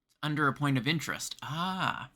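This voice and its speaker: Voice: medium pitch, lively intonation, moderate pace, bright, forceful tone. A streamer from Canada giving casual live video game commentary, chatting and thinking aloud in short remarks.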